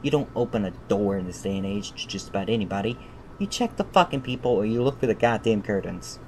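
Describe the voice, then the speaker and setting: A man's voice: lowish voice, fast talker. Irritable, sweary male game streamer, noisy background. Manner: irritated, profane ranting. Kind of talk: livestream playthrough, reading visual novel text aloud with commentary. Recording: steady background noise.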